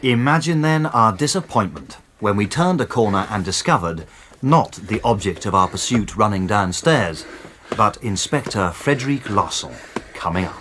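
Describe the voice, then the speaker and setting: An actor playing a gentleman who narrates a detective tale in a radio drama, in a literary, dramatic manner. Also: on the low side, animated, moderate pace, slight background noise. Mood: dramatic, suspenseful. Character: gentleman narrator recounting his detective adventure. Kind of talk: scripted first-person radio drama narration.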